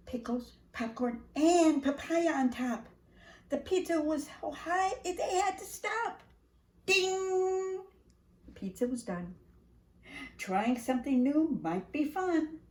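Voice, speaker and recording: An older woman reading a picture book aloud to young children in an animated, sing-song way, speaking right to them. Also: high voice, reverberant. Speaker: preschool staff storyteller reading to children.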